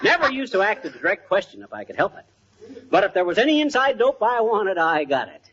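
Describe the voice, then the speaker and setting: Boastful, animated old-time radio husband. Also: male voice, sits high, brisk, background noise. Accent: American. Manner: boastful, folksy, theatrical patter. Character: bumbling boastful husband, fast-talking wisecracking know-it-all. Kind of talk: 1940s radio comedy acting. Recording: muffled vintage radio, live studio audience.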